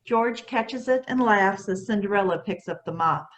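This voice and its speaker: A woman's voice: medium pitch, normal speed. A woman reading stage directions as a scripted narrator.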